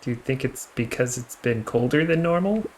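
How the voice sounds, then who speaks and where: A man's voice: medium pitch, lively intonation, moderate pace, slight background noise, close to an everyday voice. A man voicing an earnest, distracted young boy in a video game, with a questioning manner. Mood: earnest, puzzled. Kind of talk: video game character read-aloud. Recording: music playing behind the voice.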